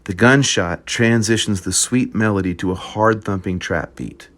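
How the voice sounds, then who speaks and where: A man reading an essay aloud at a group reading, measured and descriptive. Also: lowish voice, a bit bright.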